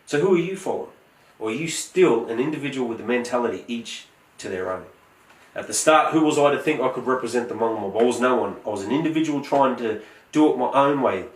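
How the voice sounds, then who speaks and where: A man, giving a prepared, earnest speech in a measured, emphatic way. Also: mid-range voice, animated, reverberant, recorded from a distance, rough recording. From Australia. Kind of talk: prepared motivational speech with scripture quotes.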